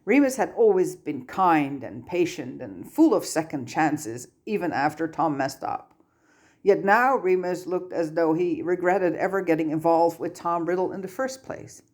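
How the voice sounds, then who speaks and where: A woman's voice: on the low side, lively intonation, normal speed, darkish tone. A woman reading a story aloud as scripted audiobook-style narration. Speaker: amateur fan fiction narrator.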